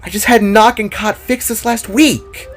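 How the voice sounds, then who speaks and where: Man acting a teenage girl guitarist, raised pitch, spirited, easily exasperated, exclamatory, audio drama character, guitar music behind. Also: fairly even intonation, measured pace, a bit bright. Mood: exasperated, groaning. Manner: exclamatory, groaning, informal.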